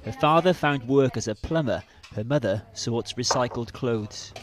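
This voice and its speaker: A woman reporter narrating a television news feature in a calm, scripted voice-over. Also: extremely low pitch, normal speed.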